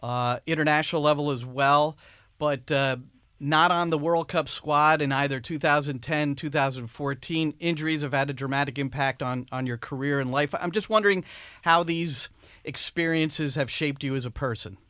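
A man's voice: fairly high pitch, normal speed, pushed and bright, clear, resonant tone, no room sound, clean studio sound. A man hosting an interview, asking questions in a conversational, lightly teasing way. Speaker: interview host. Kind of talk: radio interview.

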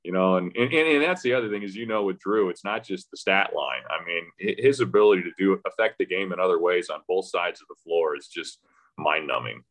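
A man's voice: low-pitched, lively intonation, fairly quick, a bit bright. A man, a sports announcer appearing as a guest, talks casually about the game, thinking aloud with frequent restarts. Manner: hesitant, conversational, thinking aloud with false starts. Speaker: radio play-by-play announcer as podcast guest. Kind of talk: casual sports interview talk.

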